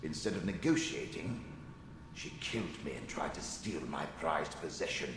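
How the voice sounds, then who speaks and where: A man's voice: a bass voice, lively intonation, normal speed. A man playing the bitter, sardonic ghost of a murdered wizard in a video game, with a weary, theatrical delivery. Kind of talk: video game character acting. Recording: long ghostly reverb, some noise.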